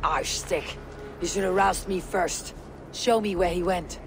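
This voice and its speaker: A woman playing a stoic, thoughtful Viking warrior in a video game, measured, grave and resolute. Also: on the low side, fairly even intonation, background noise. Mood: urgent.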